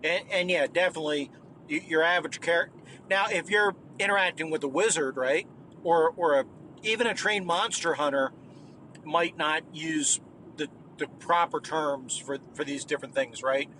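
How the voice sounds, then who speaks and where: A man leaving a voicemail for a gaming podcast, talking haltingly with many restarts and tag questions. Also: on the high side, sharp tone, a little hiss or hum, poor audio. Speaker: caller. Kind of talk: voicemail message.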